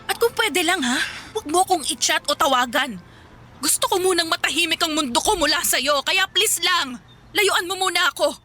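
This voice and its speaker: A woman's voice: very high-pitched, animated, normal speed, bright, forceful tone, soft background music, full character voice. A woman acting a weary, evasive girlfriend in a Filipino radio drama, speaking with firm exasperation. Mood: exasperated, firm. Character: weary, evasive young woman pulling away from her boyfriend.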